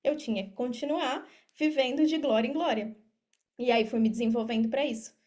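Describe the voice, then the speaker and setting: A Brazilian woman with a clearly high voice speaks as a guest on a podcast. She explains things in a casual, conversational style, often addressing her listeners directly. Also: fairly quick, darkish tone. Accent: Brazilian Portuguese. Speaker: podcast guest, affiliate marketer and mentor. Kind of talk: casual interview talk.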